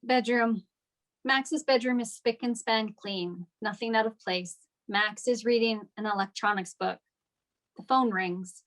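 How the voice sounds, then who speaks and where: A woman's voice: fairly high pitch, unhurried, a bit bright. A woman giving scripted narration, reading out stage directions at a table read.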